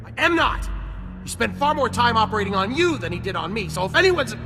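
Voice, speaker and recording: A nervous civilian man in a video game, protesting in a high, very animated voice. Long reverb surrounds him. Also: brisk, heavily acted. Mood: anxious denial. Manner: anxious, protesting. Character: nervous, self-protecting male civilian captive. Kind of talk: video game cutscene acting. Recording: long reverb over music.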